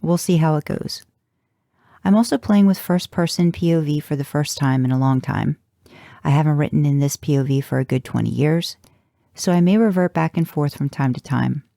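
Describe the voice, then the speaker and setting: Wry intimate fiction reader, lots of vocal fry, relaxed tone, dry recording. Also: medium pitch, normal speed, dry recording. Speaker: fan fiction podcast reader. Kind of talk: first-person audiobook-style fiction narration.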